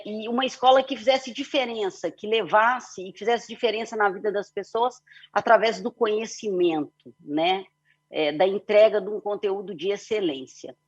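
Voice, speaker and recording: A woman's voice: fairly high pitch, husky, dull audio. A middle-aged woman from Belo Horizonte with a Mineiro Brazilian accent, telling her story at length as a guest on an online panel, with many "né" fillers. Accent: Mineiro Brazilian Portuguese. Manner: long flowing telling with frequent "né" and "é" fillers. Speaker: guest speaker, company CEO.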